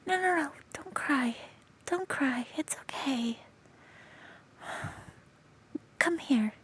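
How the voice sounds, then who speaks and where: A woman playing a protective young female friend in an audio roleplay, scolding in exasperation and then whispering soft reassurance. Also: sits high. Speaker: comforting friend.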